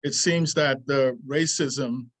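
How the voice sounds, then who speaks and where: A man, reading a prepared essay aloud in an earnest, deliberate way, pausing and going back over lines. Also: medium pitch, fairly bright tone. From Trinidad. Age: 60s. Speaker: martial arts teacher.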